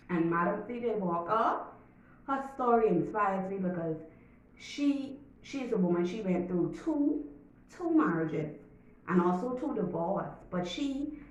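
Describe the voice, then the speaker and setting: Chatty Bahamian woman in an echoey room. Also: mid-range voice. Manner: chatty, emphatic, drawn-out asides. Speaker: YouTuber. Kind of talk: casual vlog talk, partly reading notes. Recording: echoey room, mic at a distance, dull sound.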